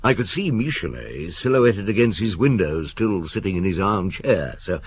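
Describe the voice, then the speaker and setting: An actor playing a shrewd, world-weary police chief in a radio drama, husky and dryly understated. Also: male voice, deep voice, very animated, moderate pace, heavily acted. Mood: dry, wry. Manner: dry, understated, thoughtful.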